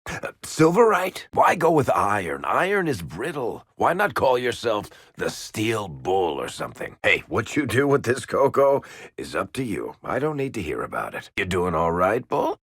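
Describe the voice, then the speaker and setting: A sardonic game rogue with a low, husky American voice. He teases like a born storyteller, his lines swinging freely up and down with a gravelly edge. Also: wildly swooping pitch, normal speed, a bit bright, no echo, studio quality, heavily acted. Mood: curious, teasing. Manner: wry, sardonic, teasing. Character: wry roguish male storyteller, sardonic and loyal. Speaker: game character voice actor. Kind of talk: video game party banter.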